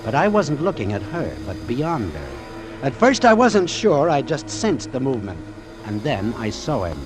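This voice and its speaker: Animated hard-boiled doctor narrator. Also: male voice, mid-range voice, moderate pace, over music. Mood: tense realization. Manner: terse, dramatic, sardonic. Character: hard-boiled, wry male doctor telling his ordeal. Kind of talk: first-person narration in vintage radio drama.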